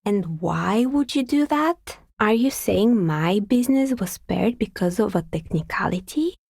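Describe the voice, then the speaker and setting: An actress playing a wry, pragmatic woman dicemaker in a video game, speaking in a measured, matter-of-fact way with a husky voice. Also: mid-range voice, lively intonation, darkish tone, no room sound, clean studio sound. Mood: skeptical, irritated. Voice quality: husky, breathy with some creak. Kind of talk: video game character dialogue.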